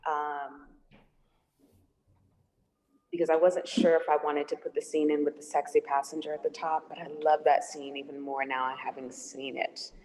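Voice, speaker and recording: A woman's voice: low-pitched, level tone, moderate pace, darkish tone. A woman screenwriter talking casually and reflectively in a question-and-answer discussion of her writing. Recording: long hollow room reverb.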